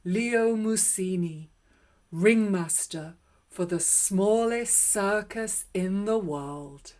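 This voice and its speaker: A woman's voice: medium pitch, extremely slow, darkish tone. A woman voicing a kindly, retired old male circus clown with a theatrical showman's manner in a children's storybook. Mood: proud. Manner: theatrical, proclaiming showman calls.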